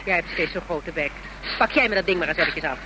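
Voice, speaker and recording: A woman's voice: on the low side, fast, close to an everyday voice. An actress playing a stubborn, feisty woman in a radio play, talking bluntly and wryly. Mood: defiant threat. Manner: colloquial, blunt, wry. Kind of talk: radio drama acting. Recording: old radio play, background noise.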